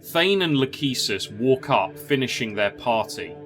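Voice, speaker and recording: Guy narrating a fantasy story, slow and steady, bit of music under him. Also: medium pitch.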